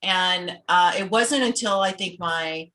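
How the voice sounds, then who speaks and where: A woman's voice: on the low side, takes it slowly, pushed and bright. A woman born in Los Angeles, a guest on a podcast over a video call, talking candidly and explaining things patiently. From United States, born in Los Angeles. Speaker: interview guest, business founder. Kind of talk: casual interview chat.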